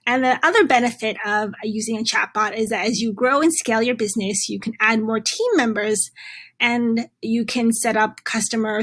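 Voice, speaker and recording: A woman presenting a step-by-step software tutorial in a conversational way, with halting phrasing and frequent pauses. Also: mid-range voice.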